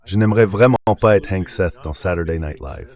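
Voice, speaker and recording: Voix d'homme synthétique, très grave. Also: male voice, low voice, brisk, a little mellow. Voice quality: synthetic AI dubbing voice. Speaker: interview guest, political commentator. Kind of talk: political commentary in a dubbed interview, read by an AI voice.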